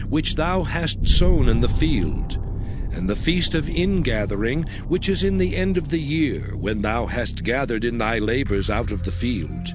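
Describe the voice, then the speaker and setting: A man's voice: medium pitch, lightly acted. A man voicing God as a solemn, commanding lawgiver in a dramatized scripture reading, with declamatory, archaic diction. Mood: stern, instructing. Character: God, solemn commanding male deity laying down law. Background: background music.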